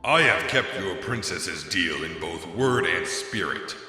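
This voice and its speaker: A skeletal pony reaper for an audio drama. His low voice is sharp and forceful, drenched in cavernous reverb. He sounds theatrical, weary and drily sardonic over music. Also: animated, measured pace, exaggerated voice. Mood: aggrieved, dry. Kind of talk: fan fiction audio drama acting. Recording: long cavernous echoing reverb.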